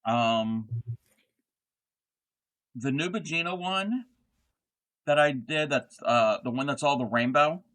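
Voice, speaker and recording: A man with a Southern American accent hosting a relaxed crochet livestream chat, his words often trailing off into murmurs while he works. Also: mid-range voice, takes it slowly, pauses often, low-quality recording. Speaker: host.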